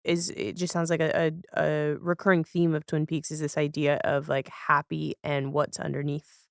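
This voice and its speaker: A woman's voice: deep voice, level tone, normal speed, gravelly, smooth, dry recording. Conversational woman hosting a podcast, close dry sound. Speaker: podcast host. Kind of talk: casual conversational podcast talk.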